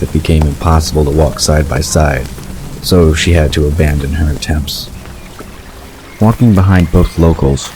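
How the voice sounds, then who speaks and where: A man narrating a scripted story in a measured storytelling delivery. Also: a bass voice. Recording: clear background ambience noise.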